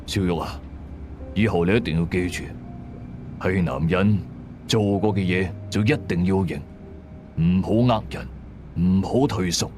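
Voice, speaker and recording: A man's voice: low voice, measured pace, pauses often, darkish tone, slight background noise. A man voicing a stern older father who works as an exorcist, solemn and instructive, in an audio horror story. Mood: grave, earnest.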